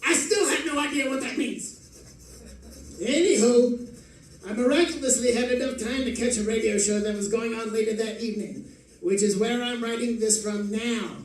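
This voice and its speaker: A man reads a comic monologue with deliberate theatrical timing. His pitch is very high for a man. The sound is very echoey and distant, with faint noise. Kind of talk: comic scripted monologue read aloud.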